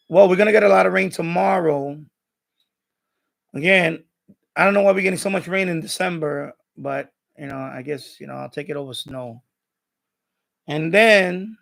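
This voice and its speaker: A man's voice: sits high, gravelly. An older man hosting a live stream with casual, rambling chat, often hesitating and repeating himself.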